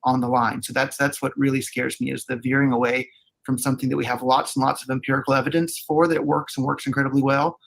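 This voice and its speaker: Flat-toned, gravelly man arguing earnestly. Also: mid-range voice, fast talker. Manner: earnest, repeats phrases for emphasis. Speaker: debate participant. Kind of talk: arguing a position in a recorded debate. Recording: played from an archived video.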